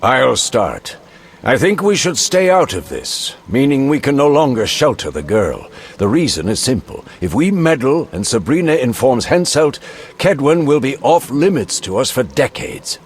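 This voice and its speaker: A senior monster-hunter mentor with a mid-pitched voice that moves up and down a lot. He is cautious and authoritative, a game character recorded with faint background noise. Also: sing-song. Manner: deliberate, authoritative. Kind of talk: video game voice acting.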